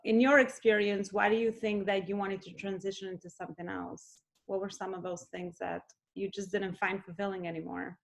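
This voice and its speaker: A woman interviewer with a gravelly edge of vocal fry asks follow-up questions. She listens closely and murmurs agreement as her guest speaks. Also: medium pitch, level tone, a little fast, few pauses. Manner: attentive, frequent backchannel murmurs. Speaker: interview host and coach.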